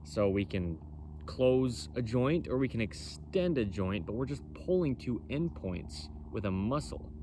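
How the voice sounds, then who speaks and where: A man with a loud, carrying voice giving an impromptu explainer as a presenter, bold, emphatic and repetitive in how he talks. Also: mid-range voice, animated, measured pace, noisy background. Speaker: presenter for a clothing company. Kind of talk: impromptu explainer on body mechanics.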